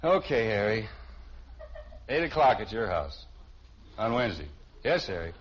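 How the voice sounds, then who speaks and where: Exasperated middle-aged suburban father, weary sarcasm, lively delivery, muffled vintage radio comedy. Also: fairly low pitch, normal speed. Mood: flustered. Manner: weary sarcasm, sputtering when interrupted. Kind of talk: 1950s radio sitcom acting. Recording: muffled, hissy old radio broadcast.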